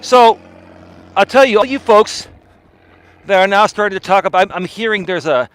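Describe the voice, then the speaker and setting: A man's voice: high voice, expressive, moderate pace, a bit bright, low-quality recording. A man live-streaming while out on a walk, ranting bluntly at his viewers and hedging his claims with "in my opinion.". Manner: blunt, argumentative, repeats "in my opinion". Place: outdoors on a walk.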